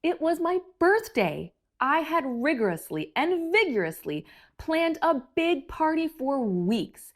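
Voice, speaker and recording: A woman reading a picture book aloud for children with expressive, theatrical emphasis. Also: sits high, takes it slowly. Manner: animated, theatrical emphasis for young listeners.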